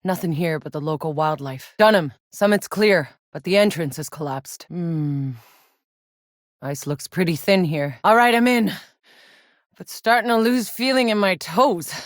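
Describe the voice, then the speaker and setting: A woman's voice: lowish voice, measured pace, no echo, clean studio sound, close to an everyday voice. A woman playing a tough, sardonic young soldier in a sci-fi video game, with a wry, gritty, profane manner. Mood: wry, weary. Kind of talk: video game character voice lines.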